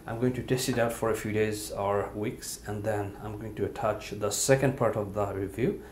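A man's voice: fairly low pitch, moderate pace, slight background noise. A man giving a casual hands-on review at a table indoors. He talks loosely, with plenty of "you know" and "uh" fillers. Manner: relaxed, filler-heavy, says "you know" often. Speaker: product reviewer. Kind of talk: casual hands-on product demonstration.

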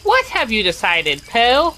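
A woman voicing a small, timid, eager young pig in an audio drama, fussy and quick to chime in. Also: a little high, wildly swooping pitch, bright, forceful tone, a little hiss or hum, full character voice.

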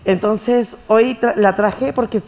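A woman's voice: on the high side, steady tone, slow, darkish tone. Earnest middle-aged woman in the audience. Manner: heartfelt, earnest. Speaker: audience member. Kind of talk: speaking to the hosts from the crowd. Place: theater audience seating. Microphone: handheld mic.

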